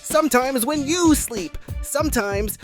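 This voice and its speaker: A woman's voice: high-pitched, lively intonation, unhurried, soft background music. A woman voicing a chirpy, wry puppet host in a scripted comedy show, addressing the audience theatrically. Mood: upbeat, wry.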